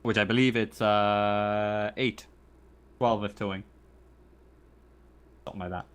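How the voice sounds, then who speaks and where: Halting, slightly low-voiced man running a game. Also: fairly even intonation, smooth. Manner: hesitant, halting, self-correcting. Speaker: game master. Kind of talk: unscripted tabletop game narration.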